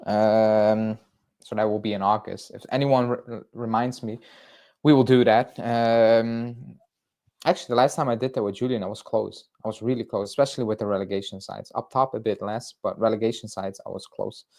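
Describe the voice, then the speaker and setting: A man's voice: lowish voice, fairly even intonation, normal speed, vocal fry, a little mellow. A young man from Belgium hosting a casual fan livestream, rambling through viewer chat with fillers and restarts. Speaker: football fan channel host.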